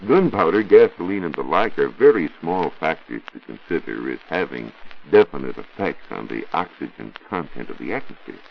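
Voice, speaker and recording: A man with an American accent explaining science on a scripted 1940s radio show, in a didactic, deliberate and emphatic way. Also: a bass voice, big pitch swings, creaky, breathy edge. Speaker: science reporter answering listener questions. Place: radio studio. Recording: muffled, noisy 1940s radio recording.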